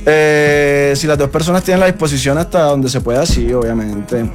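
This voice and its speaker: A man with a Colombian coastal accent, a guest musician chatting casually in a radio studio, relaxed and quick to laugh. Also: on the high side, music in the background. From Colombian Caribbean coast. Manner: relaxed, laughs often, explains slang with examples. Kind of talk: casual radio interview chat.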